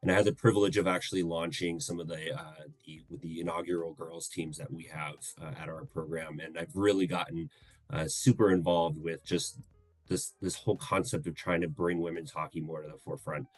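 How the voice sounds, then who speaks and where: Deep-voiced earnest man in his thirties. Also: steady tone, no echo. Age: 30s. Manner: earnest, measured, hesitant. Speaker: guest co-host. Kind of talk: casual podcast introduction.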